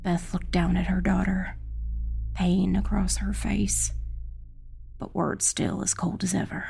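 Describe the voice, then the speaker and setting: Woman narrator with heavy vocal fry. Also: on the low side, little pitch movement, a little slow, mellow. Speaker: audiobook narrator. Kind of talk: dramatic first-person scripted narration of dark fantasy. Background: faint music.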